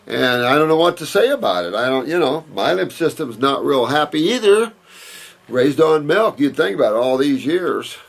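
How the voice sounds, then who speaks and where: Gravelly, husky older man rambling. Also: a little high, sing-song, normal speed. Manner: rambling, emphatic, repeats words and restarts. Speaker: naturopath answering viewer questions. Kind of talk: casual Q&A talk, reading and answering letters.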